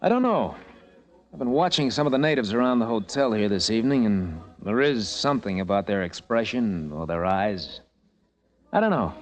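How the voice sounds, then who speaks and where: An actor playing a brash, wry American helicopter pilot in a hard-boiled old-time radio drama. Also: male voice, fairly low pitch, expressive, normal speed, lightly acted. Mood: curious, probing. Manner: wry, laconic, wisecracking. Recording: vintage radio recording, music behind.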